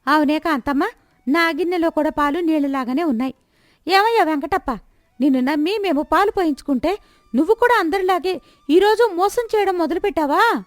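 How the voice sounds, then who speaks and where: Lady acting as angry village woman customer in a story, high voice, scolding and accusing, very dry sound. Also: a little fast, no room sound. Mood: outraged.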